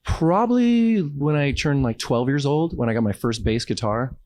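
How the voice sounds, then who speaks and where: A man's voice: medium pitch, normal speed, a little mellow, dry recording. A man from Los Angeles with an American accent, talking casually and reflectively as an interview guest. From Los Angeles, United States.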